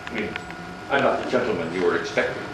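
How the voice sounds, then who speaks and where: A man's voice: low voice, fast, natural voice. An actor playing a sarcastic, menacing married middle-class man in a stage play, needling in a theatrical manner. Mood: taunting. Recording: echoey, distant, noisy live stage recording.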